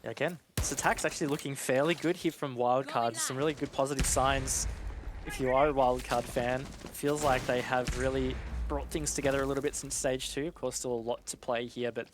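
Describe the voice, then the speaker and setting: Male esports analyst, medium pitch, conversational breakdown of live play, explanatory, slight game noise. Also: fairly even intonation, fairly quick.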